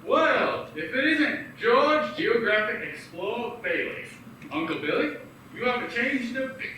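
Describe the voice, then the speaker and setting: A man's voice: very high-pitched, expressive, unhurried, bright, forceful tone, exaggerated voice. An actor in a radio play voicing a cheerful young man returning home to his brother. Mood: hearty. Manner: hearty then earnest. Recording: echoey room from far off with noise.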